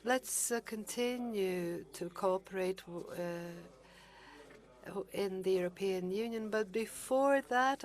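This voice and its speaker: A woman chairing a conference who gives formal closing thanks in a measured way, pausing and restarting now and then. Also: mid-range voice. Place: large conference hall.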